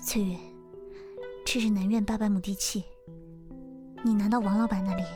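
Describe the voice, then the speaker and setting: A woman playing a young lady of the house in a Chinese costume audio drama, polite, measured and formal. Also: medium pitch, steady tone, over music, close to an everyday voice. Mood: polite, composed. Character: composed, gracious young gentlewoman, mistress of the house.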